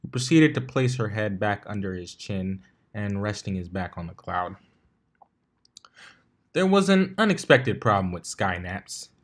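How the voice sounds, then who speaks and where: A man reading fiction aloud as a narrator, in an expressive storytelling style. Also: lowish voice, a little slow.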